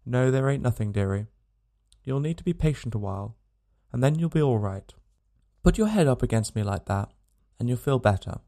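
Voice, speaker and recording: A man voicing a gaunt, dying frontiersman, parched and weary, speaking in rough frontier vernacular and gently to a child in a storybook reading. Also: medium pitch, animated, moderate pace, natural voice. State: parched, dry-lipped.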